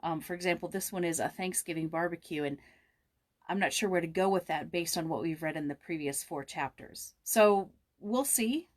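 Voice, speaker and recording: A woman's voice: on the low side, normal speed. A woman chatting casually as host and narrator of a read-aloud, informal and thinking aloud as she goes.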